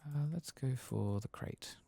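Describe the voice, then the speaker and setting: A man softly murmuring low-key ASMR game commentary to himself, breathy and gravelly, often slipping into a whisper. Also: animated, a little slow. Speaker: streamer playing a video game.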